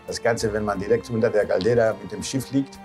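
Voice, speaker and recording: A man's voice: on the low side, fairly even intonation, a little fast, a little mellow. A man in his sixties with a Greek accent reminisces casually in non-native speech with loose grammar, often adding short questioning tags. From Greece. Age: 60s. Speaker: cruise ship captain. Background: background music.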